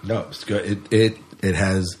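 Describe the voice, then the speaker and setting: A man's voice: low voice, fairly even intonation, unhurried, slight background noise. An American man co-hosting a podcast, chatting casually through science fiction news. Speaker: podcast co-host. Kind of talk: casual sci-fi news chat.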